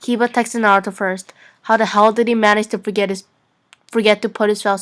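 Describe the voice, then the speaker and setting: A woman's voice: mid-range voice, flat delivery, brisk, background noise. A young woman narrating fan fiction as an amateur dramatic reading, playful and sometimes stumbling over her lines.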